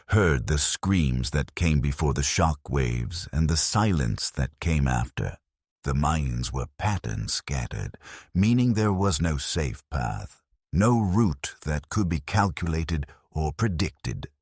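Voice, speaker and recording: A man giving a solemn, measured, scripted first-person narration of a dark dramatic story. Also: very deep voice, slightly rough, no echo, clean studio sound.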